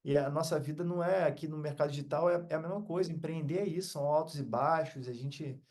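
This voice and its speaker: A man's voice: fairly high pitch, fast talker, a little mellow. A Brazilian man talking as a podcast guest in a casual, heartfelt motivational chat, earnest and full of slang.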